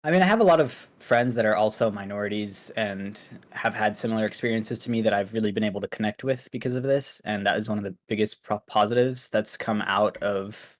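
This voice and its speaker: A man's voice: on the low side, brisk, few pauses. A young man from Pakistan, Urdu his first language, talking loosely as a podcast guest with lots of fillers. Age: young adult. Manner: laid-back, loose, filler-heavy with many likes and ums. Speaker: guest streamer. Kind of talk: casual podcast interview.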